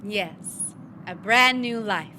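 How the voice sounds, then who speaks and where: An actress playing an anxious, intense woman in love, earnest and halting, in an old-fashioned melodramatic stage style. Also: medium pitch, pushed and bright. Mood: tender, pleading. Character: Cora, anxious, intense woman lover, wary and weary. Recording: noisy live online stage recording.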